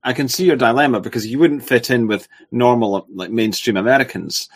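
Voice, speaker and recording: A man's voice: medium pitch, brisk, husky. A man interviewing guests, asking probing questions and repeating words as he starts. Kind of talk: casual interview talk.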